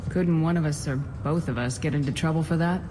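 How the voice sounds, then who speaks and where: A woman's voice: low voice, brisk, warm tone, slight background noise, natural voice. A woman voicing a resolute, no-nonsense military starship commander in a video game, terse and controlled. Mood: composed, direct. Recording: reverberant hall with music behind. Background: music.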